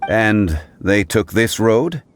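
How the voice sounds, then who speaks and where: An actor playing a calm, learned gentleman in a video game, speaking formally and precisely. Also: fairly low pitch, soft background music. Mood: deliberate. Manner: formal, measured, precise wording. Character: composed, learned gentleman consultant, calm and dignified.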